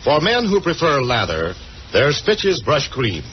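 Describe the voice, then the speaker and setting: Lively male announcer, dull old radio sound. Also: mid-range voice, measured pace. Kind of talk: 1940s scripted radio advertising. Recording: dull, slightly noisy old radio recording.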